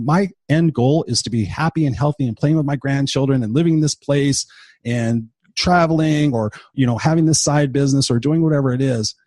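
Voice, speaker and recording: A man's voice: mid-range voice, darkish tone, breathy edge, no echo, studio quality. A man in his fifties hosting a motivational podcast, talking off the cuff in a blunt, profane, emphatic way, tired and under the weather. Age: 50s. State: tired and sick. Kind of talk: unscripted motivational monologue.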